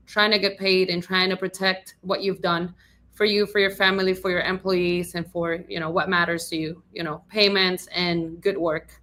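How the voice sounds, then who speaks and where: A woman's voice: mid-range voice, a bit bright. A woman in her thirties with a Venezuelan Spanish accent, a Spanish native speaker answering interview questions as an attorney in a friendly, earnest way. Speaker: attorney, podcast guest.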